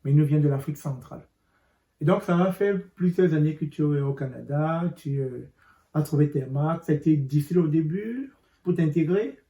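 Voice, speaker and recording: Mellow-voiced male interviewer, roomy sound. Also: fairly high pitch, a little fast. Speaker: interviewer host. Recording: roomy echo.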